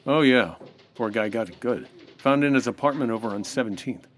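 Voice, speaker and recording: A man's voice: medium pitch, a little fast, lightly acted. A man playing an easygoing but suspicious homicide inspector in a comedy audio drama, talking in casual, clipped detective slang. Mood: casual, probing. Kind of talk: comedy audio drama acting, hard-boiled 1940s cop.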